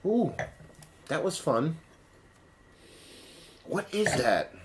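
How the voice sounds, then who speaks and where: A man casually reviewing a beer in a rambling, profane way, his voice partly lost and sitting lower than usual. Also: big pitch swings, very slow, background noise.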